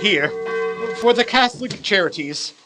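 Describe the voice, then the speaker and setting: A man's voice: high-pitched, expressive, unhurried, loud music behind the voice. A man playing an elderly reformed miser in a radio play, speaking with emphatic, theatrical, old-fashioned formality. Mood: giddy, joyful.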